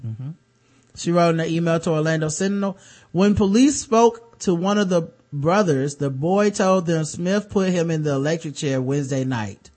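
A man's voice: a little high, normal speed, a little mellow. A man with a light voice hosting a casual podcast, reading news stories aloud and breaking off for wry, sarcastic comments. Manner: wry, sarcastic asides between read-out passages. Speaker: podcast host.

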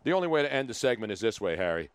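A man's voice: on the low side, very animated, rapid-fire, heavy background noise. A middle-aged or older American man hosting a casual sports podcast in a brash, slangy, bantering way. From Philadelphia, USA. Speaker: podcast host.

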